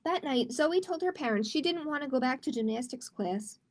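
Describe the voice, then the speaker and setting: A woman's voice: sits high, animated, moderate pace, a little hiss or hum. A woman hosting a library storytime, reading picture books aloud to children and explaining simply and encouragingly.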